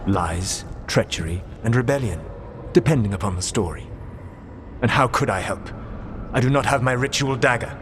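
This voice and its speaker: An actor playing an ancient male elven rebel god, measured and precise, with dry sarcasm. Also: mid-range voice, natural voice. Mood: dry, mocking. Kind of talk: video game dialogue. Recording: game audio with steady background noise.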